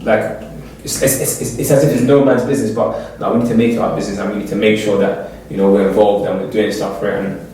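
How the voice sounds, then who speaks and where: A man's voice: on the low side, steady tone, fast, mellow. A man speaking earnestly in an opinionated group discussion, stressing points by repeating phrases. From Eritrea. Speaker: discussion panel member. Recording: echoey room, distant mic, background noise.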